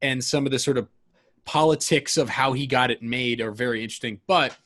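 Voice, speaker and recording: A man's voice: medium pitch, a little fast, fairly bright tone. A man hosts a casual music podcast in a mid-range voice. He rambles with enthusiasm and keeps correcting himself mid-sentence. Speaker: podcast host. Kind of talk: casual conversation about albums.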